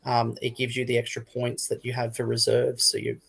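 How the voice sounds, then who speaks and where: A man speaks as a retailer guest in a casual hobby discussion. His voice sits at a middling pitch and has a noticeable creak through it. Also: completely flat delivery, a little fast, fairly bright tone.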